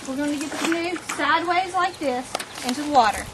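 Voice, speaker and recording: High-voiced woman teaching outdoors. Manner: practical, brisk, raising her voice to a group. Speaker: camp staff trainer. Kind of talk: outdoor instruction to counselors. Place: outdoors at a lakeshore. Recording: loud outdoor background noise.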